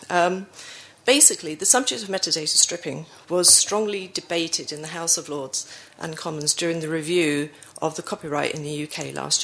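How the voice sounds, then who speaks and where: A woman with a cold giving a conference presentation, her voice croaky, with many fillers and self-corrections. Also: on the low side, a bit bright. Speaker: conference speaker.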